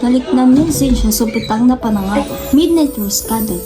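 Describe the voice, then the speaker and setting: A female teller of eerie warnings acted by a young woman speaks in a student radio drama. Her voice is on the high side, Pangasinan-accented, over clearly audible music. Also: moderate pace, natural voice. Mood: eerie, foreboding. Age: young adult. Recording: loud music bed under voice.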